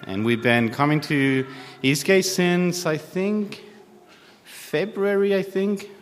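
A man in his thirties from Chile, a native Spanish speaker with a Chilean accent, preaching informally and haltingly with a self-deprecating manner. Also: high voice. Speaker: guest preacher. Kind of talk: sermon. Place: church hall. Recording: long hall reverb.

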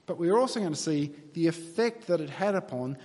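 An Australian man delivering a sermon, moving between earnest prayer and relaxed storytelling with dry, wry humour. His voice sits a little high for a man. The sound carries the long reverb of a church hall. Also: fairly quick. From New South Wales, Australia. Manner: earnest, conversational, wry asides. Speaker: pastor.

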